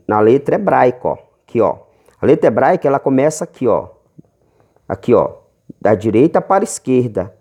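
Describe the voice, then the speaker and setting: A man with a Brazilian accent preaching in a home Bible-teaching video, reading verses slowly and stretching key words, calling listeners brothers. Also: medium pitch, warm tone. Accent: Brazilian Portuguese. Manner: fervent, calls listeners brothers often.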